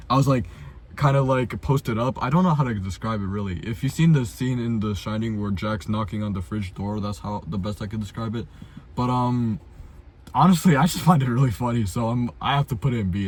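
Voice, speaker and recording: A young adult man chatting casually and unscripted as a video host, blunt, self-deprecating and full of slang and swearing. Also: mid-range voice. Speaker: amateur filmmaker, video host.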